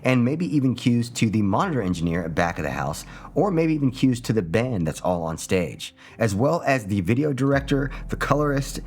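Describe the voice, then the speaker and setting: A man's voice: mid-range voice, big pitch swings, a little fast, slightly rough. A man hosting a video review with an emphatic presenter's delivery. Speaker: video host.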